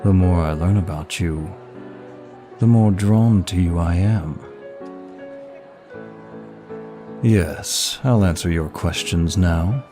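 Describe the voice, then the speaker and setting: A very low-voiced demon of lust acted by a man speaks slowly and smoothly over clear background music. He is courtly and flirtatious, paying compliments as if in a private audio roleplay. Every phrase is polite, measured and seductive. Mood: curious, flirtatious. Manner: smooth, flirtatious, formal, unhurried. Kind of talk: intimate ASMR-style roleplay, one side of a dialogue.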